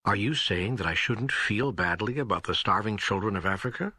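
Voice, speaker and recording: Earnest troubled seeker, raspy, sharp-edged, questioning, audiobook dialogue. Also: male voice, lowish voice, fairly quick, no echo. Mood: incredulous. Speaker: audiobook narrator. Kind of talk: scripted audiobook dialogue reading.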